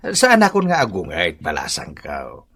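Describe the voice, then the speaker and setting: A man's voice: deep voice, wildly swooping pitch, moderate pace, a bit bright, exaggerated voice. A man acting an older, teasing, easily riled father in a comedic radio drama, with an Ilocano accent and exclamatory delivery. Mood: teasing, amused.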